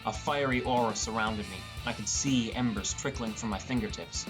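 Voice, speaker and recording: A man's voice: on the low side, moderate pace, over music. A man reading a scripted first-person story as an audiobook narrator.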